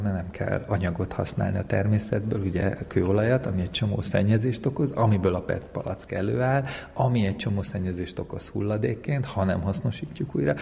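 A man's voice: on the low side, vocal fry, mellow. A man explains things as an interviewed economics lecturer, reflectively posing rhetorical questions. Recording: long reverberant hall echo.

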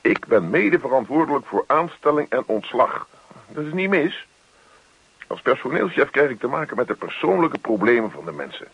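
Casting: exasperated middle-aged husband, worn down by office stress. Husky, swinging delivery, radio drama. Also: male voice, medium pitch, big pitch swings, normal speed, no room sound, full character voice. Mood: burdened, earnest. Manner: grumbling, sarcastic, exasperated. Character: grumpy, weary middle-aged husband, stressed personnel manager. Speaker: actor. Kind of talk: naturalistic radio play acting. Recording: dull, dry radio play recording.

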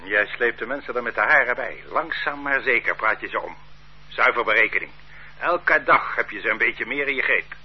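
A cold, bullying interrogator. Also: male voice, mid-range voice, lively intonation, normal speed, husky. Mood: menacing. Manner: curt, bullying, accusing. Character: stern male interrogator, cold and accusing. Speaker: interrogating official. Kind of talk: radio drama acting. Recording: dull lo-fi radio play recording.

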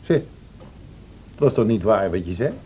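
A noisy old radio drama recording of a cruel, scornful man. His mellow voice moves slowly and menacingly, with big swings in pitch as he mocks. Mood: mocking, scornful. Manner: mocking, menacing, drawling. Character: wild, cruel, scornful man of a classic novel.

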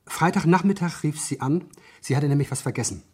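An actor playing a polite, nervous office manager in a 1970s German radio crime play, hesitant and eager to explain. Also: male voice, on the high side, brisk, dark tone, breathy edge.

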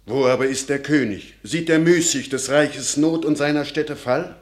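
A man's voice: a little high, normal speed, close to an everyday voice. An actor playing an anxious old village father who speaks in solemn, warning tones in classic radio drama verse. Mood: troubled.

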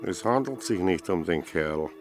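A man's voice: deep voice, lively intonation, a little slow, over music. An actor playing an officious policeman who gives instructions and warnings in a radio drama. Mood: confidential. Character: officious policeman, calling out, then confiding warnings.

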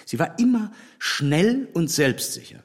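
Radio drama casting: an opinionated, dryly teasing gentleman, formal speech, husky edge, very lively intonation. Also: on the high side, measured pace, full character voice. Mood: frank, measured. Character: frank, critical English gentleman, dryly teasing. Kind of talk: literary radio play dialogue.

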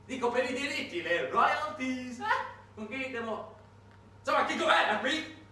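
A man playing an eager, bumbling American oil company man on stage, speaking loudly, hesitantly and insistently. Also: very high voice, expressive, unhurried, voice pushed up, heavily acted. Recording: echoey, noisy theatre recording from far off.

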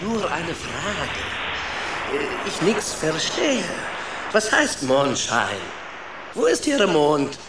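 A gruff immigrant rat with a Spanish accent and broken grammar, from a children's radio play. He is a proud, secretive storyteller who speaks slowly, with big swings in pitch. The sound is echoey and a bit noisy. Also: very animated, heavily acted. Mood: puzzled. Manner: broken German grammar, dramatic telling. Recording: echoey room with noticeable background noise.

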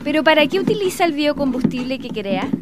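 Actress as a high-voiced polite mother. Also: sing-song, fairly quick, loud music behind the voice. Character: polite, affectionate mother of a family. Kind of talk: scripted educational drama dialogue.

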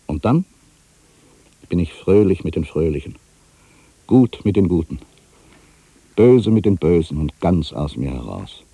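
An actor playing an aging, world-weary writer in an introspective, theatrical literary monologue, anxious and brooding, given to grandiose fancies. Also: male voice, very deep voice, slightly rough, no room sound, heavily acted. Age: older. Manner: theatrical, introspective, swinging between hushed and emphatic delivery.

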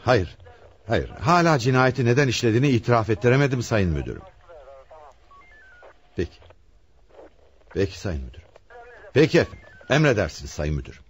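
An actor playing a stern, hard-pressed police commissioner in a radio play, speaking in an insistent, commanding way. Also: male voice, medium pitch, very animated, fairly quick, long pauses. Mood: flustered, deferential. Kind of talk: radio theatre acting.

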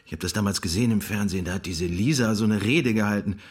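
Naive sidekick: quick, rough-edged, lowish voice, doubting and colloquial, radio thriller. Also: male voice. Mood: doubtful, curious. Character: naive, questioning male sidekick. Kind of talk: German radio crime drama acting.

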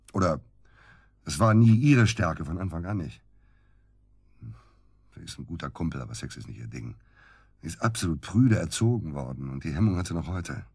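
A crude, volatile middle-aged husband in a radio drama. His voice is very low and husky, and it swings widely in pitch. He speaks bluntly and hammers his points home by repeating them. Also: heavily acted. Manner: blunt, emphatic, repeats himself. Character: bitter, hard-drinking husband of middle age, crude and volatile. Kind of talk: naturalistic German radio drama acting.

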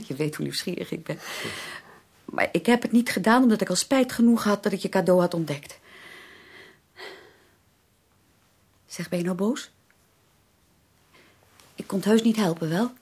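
An actress in a Dutch radio drama playing an earnest, anxious young sister who pleads haltingly and emphatically. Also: female voice, mid-range voice, darkish tone, breathy edge. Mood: confiding, sheepish. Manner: halting, emphatic, repeats words for stress.

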